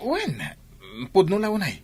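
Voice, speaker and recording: An actor with an Ilocano Filipino accent playing a scheming young driver and kept lover in a radio drama. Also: male voice, high voice, huge pitch swings, a little slow, darkish tone, slightly rough, exaggerated voice. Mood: sweet-talking.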